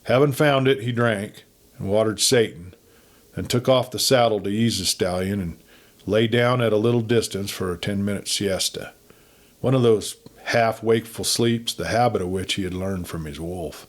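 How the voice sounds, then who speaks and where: A man with a fairly low voice narrates a western adventure story. He reads as an audiobook storyteller, giving the prose a dramatic delivery. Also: moderate pace.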